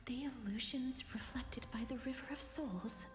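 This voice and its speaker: An actress playing a soft-spoken, solemn and thoughtful young woman in a video game, speaking formally and with eerie calm. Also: high-pitched, moderate pace, music in the background, natural voice. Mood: calm, pensive. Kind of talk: scripted video game dialogue.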